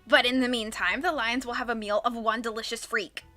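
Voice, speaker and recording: A woman's voice: fairly high pitch, fairly even intonation, brisk, bright, forceful tone, soft background music. A woman reading the lines of a boastful, commanding villain count in a storybook. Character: scheming theatrical villain count, man.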